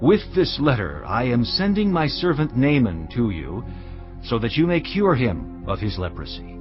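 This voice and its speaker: A man's voice: medium pitch, big pitch swings, moderate pace, lightly acted. Regal ancient king, acted by a man, sweeping intonation. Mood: assured, regal. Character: regal king of Aram, decisive and assured. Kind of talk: dramatised audio Bible acting. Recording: muffled audio with music behind.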